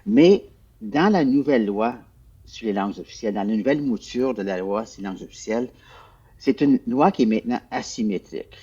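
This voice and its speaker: A man, a former official, answering interview questions in a measured, explanatory way, often repeating words as he searches for them. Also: lowish voice, lively intonation, warm tone, breathy edge, highs cut off. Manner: measured, explanatory, hesitant repetitions.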